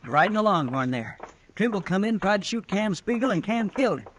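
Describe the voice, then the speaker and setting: An actor playing an excitable, folksy marshal's deputy in a 1950s radio western. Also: male voice, high voice, a little fast, noisy background. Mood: anxious.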